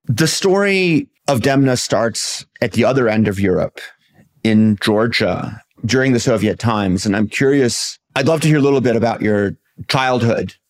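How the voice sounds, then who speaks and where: A man's voice: mid-range voice, normal speed. Polished male podcast host. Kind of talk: scripted intro, then interview questions.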